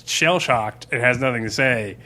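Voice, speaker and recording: A man's voice: mid-range voice, moderate pace, pushed and bright. A man talking as a game master in a casual podcast discussion, explaining in an informal, sweary, rambling way.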